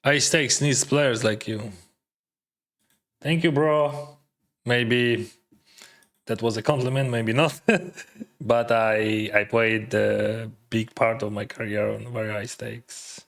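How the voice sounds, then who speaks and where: A man's voice: medium pitch, fairly even intonation, slow, gravelly, pauses often, a bit bright. Chatty man thinking aloud mid-game. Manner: mildly accented non-native English. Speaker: poker streamer. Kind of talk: casual live-stream commentary.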